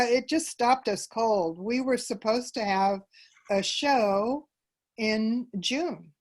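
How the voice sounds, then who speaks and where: Woman explaining things in conversation. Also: medium pitch. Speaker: theater troupe member, interview guest. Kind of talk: conversational interview answers.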